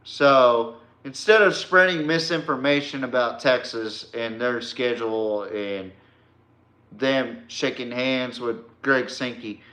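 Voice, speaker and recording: A male sports podcast host speaks bluntly and confrontationally, taking his time between phrases. His voice is forceful and bright, and the room around him echoes. The delivery is an informal, slangy rant aimed at a rival. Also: mid-range voice, steady tone, slow.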